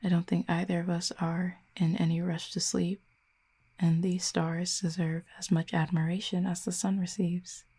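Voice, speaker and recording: Tender but guarded female Cupid played by a woman, intimate roleplay, low and gravelly-soft, measured formal phrasing. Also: flat delivery. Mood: tender, sincere. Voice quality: soft, husky, breathy. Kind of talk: intimate audio roleplay acting.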